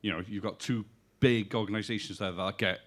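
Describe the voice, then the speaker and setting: A man whose first language is Welsh, speaking English as a panel guest and explaining step by step while pausing to search for words. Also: lowish voice, fairly even intonation, a bit bright. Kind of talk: casual panel chat.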